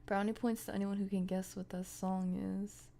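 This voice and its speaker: A woman's voice: medium pitch, little pitch movement, moderate pace, creaky, mellow. A tired woman streamer chatting casually in a playful, rambling, daydreamy way. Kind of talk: casual chat.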